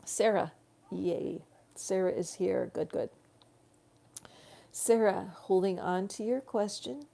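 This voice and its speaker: A woman giving a live tarot reading in slow, soothing spiritual guidance, her voice growing tired. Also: medium pitch, creaky, warm tone. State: tiring voice. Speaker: tarot reader.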